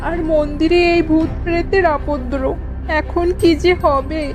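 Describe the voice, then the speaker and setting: A woman's voice: high voice, fairly even intonation, measured pace. A woman voicing a frightened village woman in a cartoon, pleading anxiously. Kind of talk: Bengali cartoon voice acting. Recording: music behind the voice.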